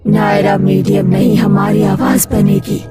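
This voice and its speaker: A woman's voice: medium pitch, monotone, a little slow, natural voice. A woman voicing a vengeful, defiant ghost of wronged village women, accusing and dramatic, in a horror story. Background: loud music.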